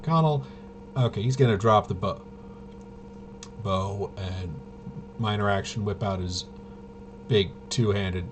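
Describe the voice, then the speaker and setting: A man's voice: fairly low pitch, slow pace, pauses often, a little hiss or hum. A man giving casual play-by-play of a tabletop roleplaying game, muttering and thinking aloud as he goes. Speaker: solo tabletop game player and host.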